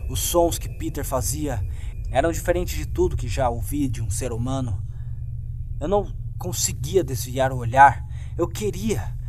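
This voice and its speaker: Brazilian male horror narrator, mid-range voice, suspenseful and dramatic, clear music bed underneath. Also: medium pitch, darkish tone. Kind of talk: dramatic scripted creepypasta narration.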